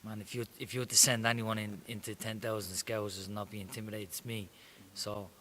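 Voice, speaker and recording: A man's voice: fairly low pitch, flat delivery, brisk, bright, forceful tone. A young Irish man, a professional boxer, talking confidently and plainly in an interview. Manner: confident, swaggering, plain-spoken. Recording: faint hiss.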